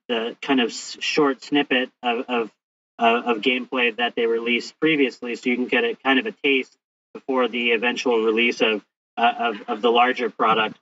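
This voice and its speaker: A man's voice: mid-range voice, bright, forceful tone, far from the mic. A man hosting a podcast, asking interview questions in a hesitant way with many restarts and fillers. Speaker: podcast host. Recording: hissy lo-fi audio, off-mic.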